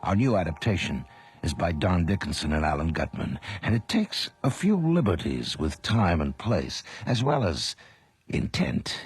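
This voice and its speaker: An actor playing a sinister, theatrical horror radio host, speaking in an ominous, deliberate way over music. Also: male voice, sits low, big pitch swings. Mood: ominous, sly. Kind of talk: scripted horror anthology narration. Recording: music under the voice.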